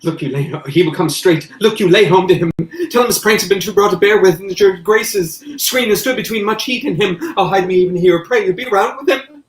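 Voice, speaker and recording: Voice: medium pitch, brisk, close to an everyday voice. Actor doing a scheming old courtier, urgent, echoey hall sound. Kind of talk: stage acting.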